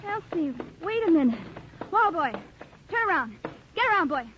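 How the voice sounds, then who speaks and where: Earnest determined young woman, old 1940s radio serial, high voice, muffled and noisy. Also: sing-song, moderate pace. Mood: resolute.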